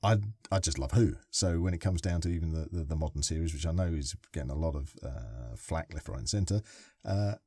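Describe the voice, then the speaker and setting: An older man co-hosting a podcast, chatting and teasing his guest in a lively way. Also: extremely low pitch, fairly quick, hardly any pauses, slightly rough, no echo, clean studio sound. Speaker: podcast co-host. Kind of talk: casual interview chat.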